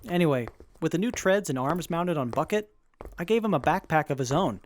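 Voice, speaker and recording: A man's voice: fairly high pitch, lively intonation, brisk, a little hiss or hum, natural voice. An actor playing an eager, goofy young male tech tinkerer in an audio drama.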